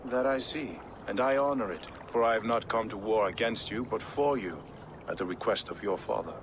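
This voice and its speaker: A courteous Norse king acted by a man speaks formally in a video game. The voice is fairly low and measured, calm and regal. Clear outdoor water noise sits behind it. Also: close to an everyday voice. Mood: composed, diplomatic. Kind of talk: dramatic video game acting.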